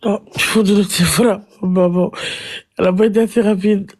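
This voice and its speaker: A man chats on a live stream, his nose blocked by a cold and his breathing heavy. His voice is mellow and dark, and he talks bluntly, dwelling on each word. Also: high voice, fairly even intonation, a little fast, slightly rough. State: stuffy with a head cold. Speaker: livestreamer. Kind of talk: casual live-stream talk.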